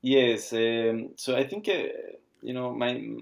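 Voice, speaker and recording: A man, speaking haltingly as he searches for words while being interviewed about founding his watch brand. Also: mid-range voice, creaky. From Argentina. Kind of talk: casual interview.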